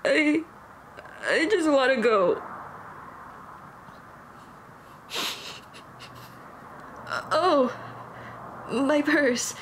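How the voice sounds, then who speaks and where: Woman acting a nervous, high-voiced young monster girl. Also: animated, takes it slowly, fairly bright tone, slight background noise, exaggerated voice. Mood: upset, pleading. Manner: nervous, rambling, stammering. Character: shy, flustered female dullahan monster girl on a date. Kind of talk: ASMR roleplay acting. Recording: music behind the voice.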